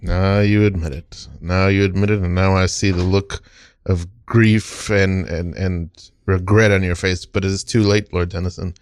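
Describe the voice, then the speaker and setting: Casting: man as protective, indignant dad, deep voice, stern and accusing, improv comedy, very dry sound. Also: little pitch movement, normal speed, no echo, close to an everyday voice. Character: indignant, protective father of an urchin boy.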